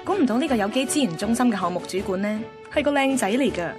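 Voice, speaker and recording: A woman's voice: a little high, lively intonation, fast, music in the background, close to an everyday voice. A woman with a Hong Kong Cantonese accent playing a picky, chatty host in her twenties, narrating a radio drama conversationally. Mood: breezy. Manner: cheeky, self-assured, conversational.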